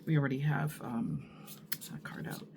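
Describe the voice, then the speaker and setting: A woman reading tarot in an unhurried, musing way. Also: very deep voice, steady tone, background noise. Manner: unhurried, musing, many fillers and pauses. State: husky morning voice from allergies. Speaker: tarot reader. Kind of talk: casual tarot reading to camera.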